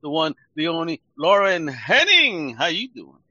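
A male podcast host who talks with a bright, forceful edge and slangy, excited energy, laughing between questions. The room sounds dry and close. The audio is a bit dull and lo-fi. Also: on the high side, measured pace, breathy edge, dry recording. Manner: hyped-up, slangy, laughs a lot. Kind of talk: casual interview chat.

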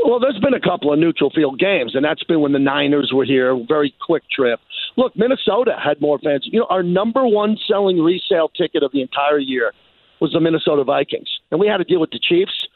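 A man with a notably high voice talks sports in an emphatic, animated way, hammering points home by repeating them. He is from New York and sounds like a radio guest. The sound is thin and narrow, like a phone line, with a dry, close quality. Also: brisk, no echo. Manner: emphatic, repeats phrases for effect. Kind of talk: animated sports talk interview.

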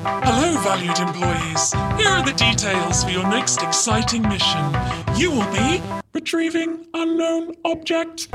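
A woman's voice: extremely high pitch, recorded from a distance. A woman playing an automated corporate mission announcer in a sci-fi audio comedy, artificially cheerful and formulaic.

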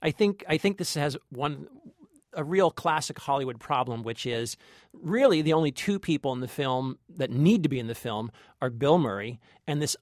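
A man's voice: medium pitch, dry recording, clean studio sound. A man co-hosting a film review podcast in casual chat, emphatic and often repeating himself for effect. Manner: emphatic, repeats himself, mimics lines mockingly.

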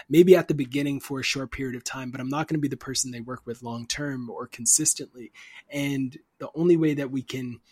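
A man in his early thirties chats easily as an interview guest, relaxed, with plenty of ums and restarts. His voice sits at an ordinary pitch. The recording is close and dry, with no room sound. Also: husky, dry recording. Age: 30s. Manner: relaxed, chatty, many fillers and restarts. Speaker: guest, marketing agency founder. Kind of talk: casual interview conversation.

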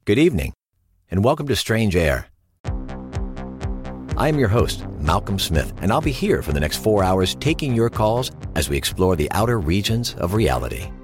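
Late-night paranormal radio host, audio drama: low, highly animated, inviting, over prominent music. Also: male voice, moderate pace. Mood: mysterious welcome.

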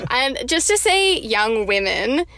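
A woman talks as a podcast guest, animated and gushing. She laughs easily, and her voice sits a little high. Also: slow pace, no room sound. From New South Wales, Australia. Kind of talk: casual chat.

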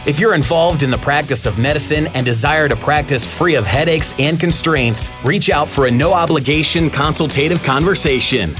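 A man's voice: fairly high pitch, normal speed, few pauses. A man reading a scripted promotional ad in a polished announcer delivery. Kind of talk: scripted ad read. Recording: guitar music bed.

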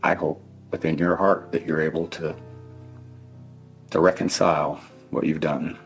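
A middle-aged man with a very low, gravelly voice addresses someone as a grieving parent in a formal statement. He is stern and deliberate, leaving heavy pauses between short phrases. Faint guitar music runs underneath. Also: little pitch movement. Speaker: bereaved father addressing his child's killer. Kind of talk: victim impact statement addressed to one person.

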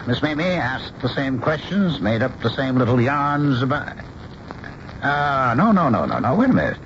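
Easygoing teasing dad painter, 70s radio play, really lively pitch, bit muffled and hissy. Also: male voice, moderate pace. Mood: wry, fond. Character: genial, teasing middle-aged painter and devoted father. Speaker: actor in a radio drama. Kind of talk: 1970s radio drama acting. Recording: noisy, dull old radio broadcast.

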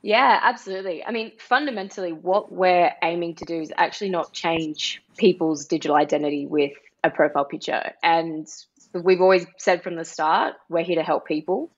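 An Australian woman being interviewed as a project co-founder, speaking in an upbeat pitching manner with some uh fillers over a remote call. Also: steady tone, moderate pace, lo-fi sound. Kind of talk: casual interview talk.

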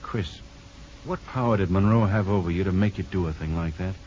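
A muffled old radio recording has an actor playing a grave, steadying doctor. His voice is very low and he talks briskly, with the restraint of a concerned friend in a 1950s drama. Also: darkish tone, natural voice. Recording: dull, faintly noisy old radio recording.

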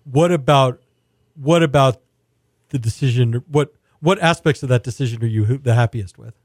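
A man's voice: medium pitch, moderate pace, a little mellow, no echo, clean studio sound. A man hosting a food podcast, asking curious questions and rephrasing them as he goes. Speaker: podcast host, food interviewer. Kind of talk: casual interview questions.

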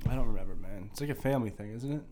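A man's voice: fairly low pitch, very fast, mellow, slight background noise. A man co-hosting a podcast, chatting casually in a blunt, profane, wisecracking way. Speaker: podcast co-host. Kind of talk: casual chat.

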